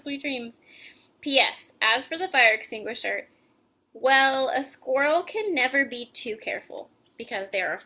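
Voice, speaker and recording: A woman's voice: fairly high pitch, pushed and bright. A woman hosting a children's story time, reading a picture book aloud expressively and adding chatty, casual explanations for young listeners. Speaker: host and children's story reader.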